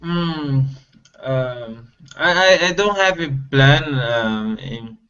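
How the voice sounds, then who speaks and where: A man learning English who speaks haltingly and stumbles over his words on a video call. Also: mid-range voice, expressive, bright, forceful tone. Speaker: student. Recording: dull lo-fi video call audio.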